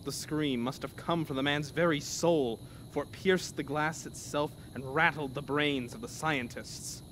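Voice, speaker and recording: A man's voice: fairly high pitch, a little slow, fairly bright tone, slight background noise, rough recording. A man narrating a scripted horror story in a dramatic, theatrical manner.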